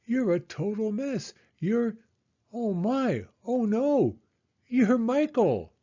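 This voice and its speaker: An indignant storybook mother, acted by a man, scolding in drawn-out exclamations. The voice is pushed well up in pitch and swoops expressively. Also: very animated, dark tone, muffled recording, heavily acted. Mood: shocked realization.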